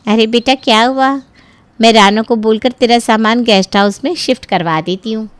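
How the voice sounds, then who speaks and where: A woman's voice: on the high side, moderate pace, clear, resonant tone, close to an everyday voice. A woman voicing a practical middle-aged mother in a cartoon story, coaxing and explaining as she talks. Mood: coaxing, concerned.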